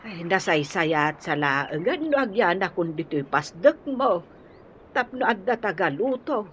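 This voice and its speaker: A woman's voice: lowish voice, very animated, unhurried, fairly bright tone. A woman playing a tender older mother fussing over her grown son in a radio drama, with a Filipino accent. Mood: doting. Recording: dull, faintly noisy radio recording.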